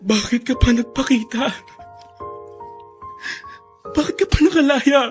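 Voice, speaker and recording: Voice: high voice, a little fast, close to an everyday voice. Resentful young adult son, played by an actor, emphatic and emotional Filipino radio drama delivery over music. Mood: furious, crying. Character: anguished, resentful young adult son rejecting his convict father. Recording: music under the voice.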